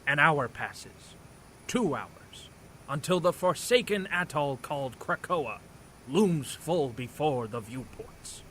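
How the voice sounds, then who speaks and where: A theatrical narrator for a superhero radio play, played by a man. He tells it melodramatically in a bright, fairly high voice with animated pitch, over some ambient noise. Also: slow. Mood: ominous. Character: dramatic male comic-book narrator.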